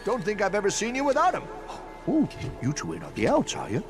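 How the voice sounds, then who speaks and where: A man's voice: sits high, wildly swooping pitch, brisk, music in the background. An actor voicing a chatty, kindly old male plumber with a folksy drawl in a game cutscene. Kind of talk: video game cutscene acting.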